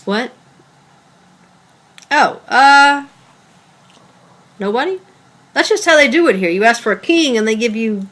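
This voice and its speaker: A female actor plays a secretive, defensive girlfriend in a naturalistic drama read. Her voice is on the high side and swings widely in pitch. She speaks haltingly and evasively. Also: expressive, fairly bright tone. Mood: guarded, evasive. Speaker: actor in a script table read.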